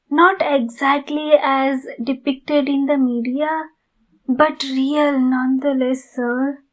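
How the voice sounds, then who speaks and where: Woman voicing a slow, high-voiced polite vampire lady. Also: rough recording. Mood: relieved, polite. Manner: courteous, formal, earnest, halting phrasing. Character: polite, pleading female vampire in need of blood. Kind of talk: ASMR roleplay addressed to the listener.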